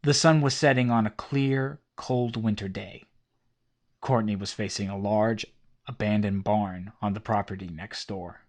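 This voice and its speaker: Low-voiced male horror narrator. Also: measured pace. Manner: steady documentary-style telling.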